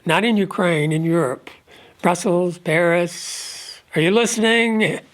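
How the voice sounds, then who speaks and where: A man with a head cold and a stuffed-up voice, giving an unscripted religious commentary at a measured, halting pace, pausing often. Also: high-pitched. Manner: measured, halting delivery with frequent pauses. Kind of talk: unscripted monologue on prophecy.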